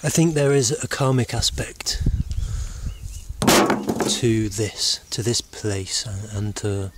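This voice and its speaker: A middle-aged man giving a rambling, reflective monologue to camera, halting as he searches for words. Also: fairly low pitch. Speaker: vlogger. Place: outdoors in open countryside. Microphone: handheld camera at arm's length. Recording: noisy outdoor camera recording. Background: birds and outdoor noise.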